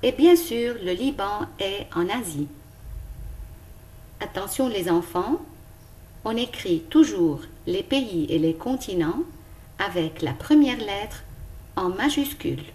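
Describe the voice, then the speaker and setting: A woman teacher, giving a careful, clearly spoken lesson to young pupils. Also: medium pitch, animated, darkish tone, a little hiss or hum. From Lebanon. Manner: slow, careful and clearly articulated.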